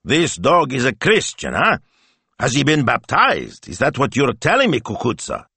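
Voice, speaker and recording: Man acting a blustering grandfather, swooping pitch. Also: huge pitch swings, moderate pace, creaky, bright, forceful tone, husky, dry recording, exaggerated voice. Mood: indignant, teasing. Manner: blustering, indignant questioning. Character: grumpy theatrical Italian grandfather, gruffly affectionate.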